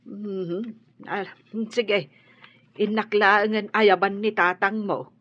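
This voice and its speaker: A woman's voice: mid-range voice, fairly even intonation, extremely slow, fairly bright tone. A woman playing a worried old grandmother. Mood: concerned. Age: older. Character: worried elderly grandmother and mother. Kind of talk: radio drama acting. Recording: dull lo-fi audio.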